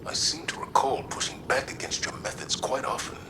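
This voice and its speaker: A man's voice: a little fast, noisy background, natural voice. A man playing a dignified, thoughtful military commander who speaks formally and pensively in a video game. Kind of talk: scripted game dialogue.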